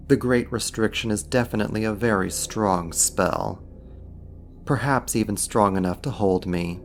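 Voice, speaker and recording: ASMR roleplay archdemon, male performer: low-voiced, measured, mocking, menacing, dominant. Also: vocal fry. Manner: theatrical, taunting, slow and deliberate.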